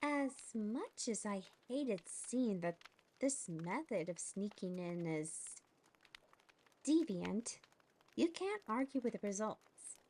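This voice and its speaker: A woman's voice: medium pitch, big pitch swings, takes it slowly, a little mellow, a little hiss or hum, rough recording. A woman narrating a fantasy audio drama in a playful way, breaking off for asides.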